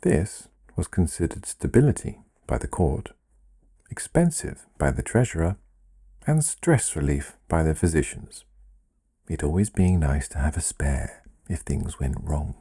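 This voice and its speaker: A man reading a literary fairy tale in a measured, dryly wry manner with deliberate pauses. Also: a bass voice, animated, dark tone, breathy edge. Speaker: storyteller reading own written fable.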